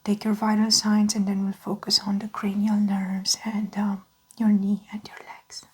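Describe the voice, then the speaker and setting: A woman speaking softly and breathily as a doctor in an ASMR medical roleplay, gently explaining each step without hurry. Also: mid-range voice, monotone, normal speed. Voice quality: soft, whispery, breathy. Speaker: ASMR performer playing a doctor.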